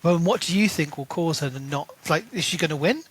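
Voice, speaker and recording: A man's voice: a little high, husky, no echo. A man co-hosting a podcast, talking through a book in casual chat and briefly acting out characters' lines. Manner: animated, acts out characters' lines in quick asides. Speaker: podcast co-host.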